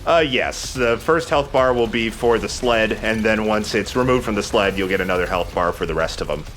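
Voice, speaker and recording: A man's voice: on the low side, moderate pace, a bit bright, a little hiss or hum. A man hosting a let's play, explaining the game casually with playful, jokey slang. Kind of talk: casual gameplay commentary and walkthrough.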